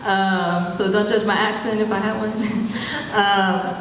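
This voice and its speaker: Swedish-raised panelist in a booming hall. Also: male voice, takes it slowly, slight background noise. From Sweden. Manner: relaxed and loose, filler-heavy. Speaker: student organizer. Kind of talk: panel self-introduction. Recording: echoey hall from far off.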